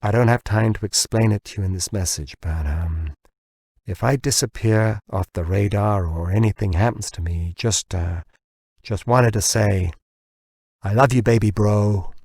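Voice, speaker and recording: A man's voice: lowish voice, unhurried, a little mellow, no echo. A man voicing a bitter, ranting American man who taunts and tumbles over his words in an audiobook. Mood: worried, regretful. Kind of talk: audiobook character dialogue.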